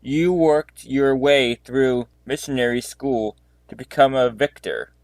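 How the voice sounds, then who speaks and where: A man playing a methodical police detective in an amateur murder mystery audio drama, deliberate and declarative. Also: mid-range voice, a little mellow, no echo. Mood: accusing.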